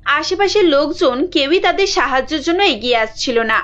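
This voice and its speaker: A woman's voice: high-pitched, expressive, normal speed, fairly bright tone, dull audio. A woman giving scripted narration for a cartoon story.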